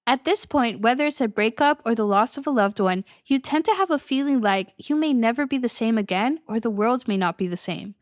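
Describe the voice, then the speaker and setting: A woman's voice: fairly high pitch, animated, a little fast, hardly any pauses, dry recording, clean studio sound. A young adult woman hosting her own podcast as a coach, talking casually and upbeat, often breaking into little laughs. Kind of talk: solo podcast monologue.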